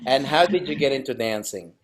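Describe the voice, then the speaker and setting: A man's voice: medium pitch, expressive, brisk, a crowd in the background. A man hosting a casual podcast interview, asking questions with stammers and repeated words. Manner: stammering questions, frequent fillers. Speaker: podcast host.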